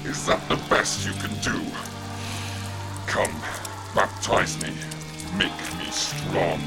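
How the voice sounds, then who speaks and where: A man's voice: low-pitched, takes it slowly, loud music behind the voice. An actor playing an aging, fanatical alien king and father in a sci-fi audio drama, declaiming solemnly and grandly. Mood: agonized, defiant.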